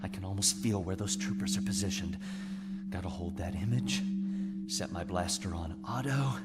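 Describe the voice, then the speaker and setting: An actor in an audio drama playing a brooding rebel agent who talks himself through a dangerous mission. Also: male voice, sits low, moderate pace, natural voice. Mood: focused. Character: brooding young rebel agent, narrating his own mission. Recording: long reverb, loud music behind.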